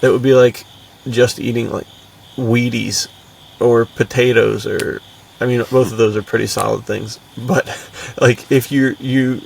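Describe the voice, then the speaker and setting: A man with a strongly creaky, gravelly voice at an ordinary pitch. He talks like an enthusiastic podcast co-host, loose and slangy. He sounds a little away from the microphone, with faint noise behind him. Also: little pitch movement, measured pace, few pauses, far from the mic, rough recording. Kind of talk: casual podcast chat.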